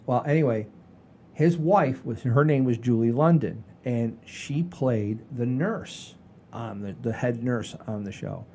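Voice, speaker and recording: An older man hosting a casual podcast, rambling in a folksy, halting way with repeats and the odd mild swear. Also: mid-range voice, warm tone, a little hiss or hum. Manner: folksy, mildly profane, halting and repetitive. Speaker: podcast host.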